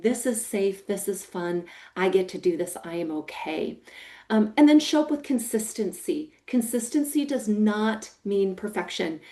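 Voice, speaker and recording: A middle-aged American woman with a big, emphatic voice, giving a training talk to foster parents. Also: medium pitch. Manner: expressive, emphatic, warm-hearted teaching with a big voice.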